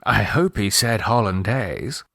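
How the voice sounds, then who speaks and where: A man voicing a witty young gentleman in a storybook, lazy, droll and unconcerned. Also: on the low side, a little slow, vocal fry, no echo, clean studio sound, exaggerated voice. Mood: lazy, flippant.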